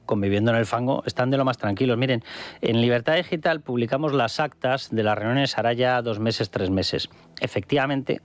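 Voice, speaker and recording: A man from Spain delivering combative, sarcastic political commentary on a radio news show. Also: mid-range voice. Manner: combative, sarcastic, emphatic repetition.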